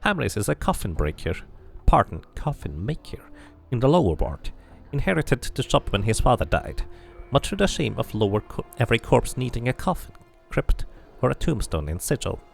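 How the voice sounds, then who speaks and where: A man's voice: mid-range voice, sing-song, normal speed, gravelly, hardly any pauses, soft background music. A man voicing a cranky, scornful old woman who gossips and mutters insults in a fantasy game. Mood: gossipy.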